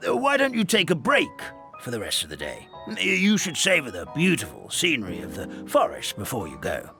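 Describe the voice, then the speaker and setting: A kindly old storybook grandfather from a fantasy game. His pitch leaps and plunges as he talks in halting, theatrical bursts with sighs. Prominent music plays behind him. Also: huge pitch swings, vocal fry. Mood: kindly advising. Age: older. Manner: halting, sighing, dramatic, swooping. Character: kindly, excitable old grandfather of a fairy-tale forest. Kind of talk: English game dub, storybook fantasy acting.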